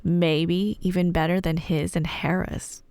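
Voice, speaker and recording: A woman's voice: on the low side, level tone, a little slow, a little mellow, clear, resonant tone, no echo. Casual, wry woman storyteller, close and dry. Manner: wry, irreverent, slangy, conversational. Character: chatty, playful, nerdy girlfriend telling myths. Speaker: audio roleplay performer playing a girlfriend. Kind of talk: casual rambling storytelling, scripted ASMR roleplay.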